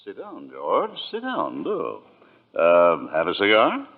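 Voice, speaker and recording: Oily old rich banker villain, low voice w huge swoops, talks down to you, old radio. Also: male voice, wildly swooping pitch, narrow, tinny audio, heavily acted. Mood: smooth, calculating. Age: older. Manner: oily, deliberate, condescending. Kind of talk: old-time radio drama acting. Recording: band-limited old radio broadcast recording.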